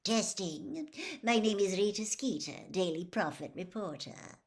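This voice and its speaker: A woman voicing a pushy, gushing middle-aged female tabloid reporter from a storybook, brisk and falsely sweet as she probes. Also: mid-range voice, natural voice. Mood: smooth, businesslike.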